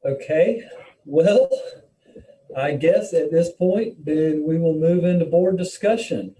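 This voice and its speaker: Muffled, distant man chairing, very dark tone. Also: on the high side, takes it slowly. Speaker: meeting chair. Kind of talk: informal meeting moderation.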